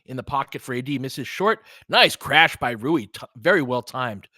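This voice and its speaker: Male play-by-play streamer, bright forceful voice, dry close sound, clipped calls mixed with casual analysis and listener asides. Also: mid-range voice, lively intonation, normal speed, no room sound. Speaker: play-by-play host of a fan audio stream.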